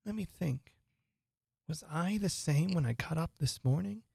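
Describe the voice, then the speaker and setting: A woman's voice: low-pitched, lively intonation, a little slow, creaky, darkish tone, husky, no echo, clean studio sound, full character voice. A woman voicing a young girl who is curious, fretful and prim, talking to herself in wonder. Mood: puzzled.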